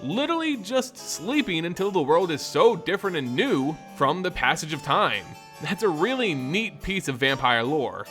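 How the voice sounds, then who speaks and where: Male lore host and storyteller, fairly high-pitched and very animated, theatrical scripted fantasy read over music. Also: moderate pace, hardly any pauses. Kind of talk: dramatic scripted fantasy narration and commentary.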